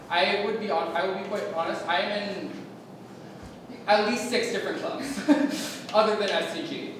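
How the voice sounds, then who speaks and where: A young man giving an ad-libbed campaign speech as a speaker candidate, rambling and correcting himself with a wry edge. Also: high voice. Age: young adult. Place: large echoey meeting room. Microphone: distant room mic. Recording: echoey hall from far off, noisy.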